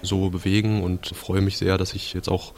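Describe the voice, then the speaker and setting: A man's voice: deep voice, little pitch movement, normal speed, darkish tone. A man in his twenties introducing himself, hesitant and friendly. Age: 20s. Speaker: law student. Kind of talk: self-introduction.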